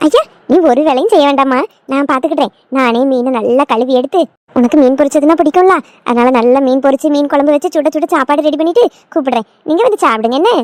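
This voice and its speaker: A sentimental older mother-in-law from a village family drama, voiced by a woman, fussing and coaxing in a high voice. Her accent is southern Tamil Nadu and the recording is dry. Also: fast talker, a little mellow, dry recording, close to an everyday voice. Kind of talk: Tamil family skit acting.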